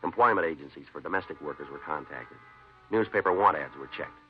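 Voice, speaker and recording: A terse American police detective in a vintage radio drama. His very low voice moves fast, clipped and deadpan. The old recording is dull. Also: faint music behind. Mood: matter-of-fact. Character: laconic, dry, unflappable male police detective.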